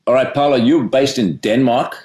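A man interviewing a guest, speaking in a measured, hedging way. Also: mid-range voice, a little mellow. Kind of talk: interview questions and comment.

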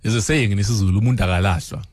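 A South African man with a fairly low voice chats on the radio in a dry, close studio. His delivery is casual and reflective. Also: rapid-fire, no echo.

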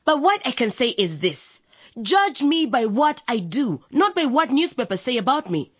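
A woman's voice: high-pitched, sing-song, normal speed, husky, heavily acted. An actress playing a young, idealistic woman candidate in a radio drama, speaking in an emphatic, declamatory way. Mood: firm, defensive. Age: young adult. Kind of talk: radio drama campaign speech.

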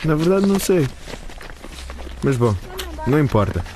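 A man's voice: a little high, sing-song, moderate pace, a little mellow, slight background noise, poor audio. A man acting a persistent schoolboy suitor in a radio drama, coaxing and insistent. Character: persistent, smooth-talking schoolboy pressuring his sweetheart.

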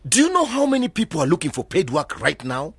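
An actor playing a stern, reproachful older uncle from a village in a radio drama, scolding and insistent. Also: male voice, fairly high pitch, wildly swooping pitch, quick pace, breathy edge, no echo, full character voice.

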